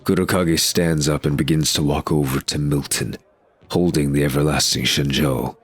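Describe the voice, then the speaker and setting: A man's voice: a bass voice, steady tone, normal speed, husky, no echo. A man giving scripted, present-tense narration as the narrator of an audio drama.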